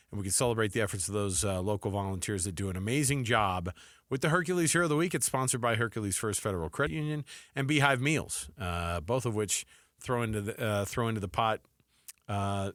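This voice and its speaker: A man's voice: on the low side, fairly bright tone, no echo. An American man hosting sports talk radio in a chatty, conversational, effusive way on a close studio mic.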